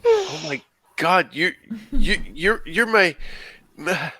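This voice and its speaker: A man's voice: high-pitched, huge pitch swings, slow, a crowd in the background, exaggerated voice. A man playing a bewildered, nervous teenage boy in a table read, hesitant and stammering. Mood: alarmed, stunned. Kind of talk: amateur table read of a film script.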